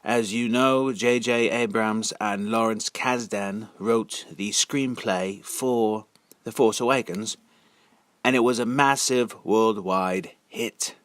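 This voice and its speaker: A man giving a casual, halting monologue as a video narrator, drawling words for sardonic emphasis. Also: lowish voice, a bit bright, no echo. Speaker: video narrator and amateur reader.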